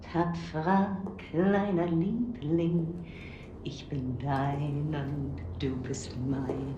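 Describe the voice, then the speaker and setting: An actress playing a sadistic, taunting older Nazi general with a German accent and a cooing, menacing manner in a game cutscene. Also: female voice, low-pitched, level tone, lo-fi sound. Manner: slow, menacing, cooing, often breathy whispering. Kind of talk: video game cutscene acting. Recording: echoey room with clear background noise.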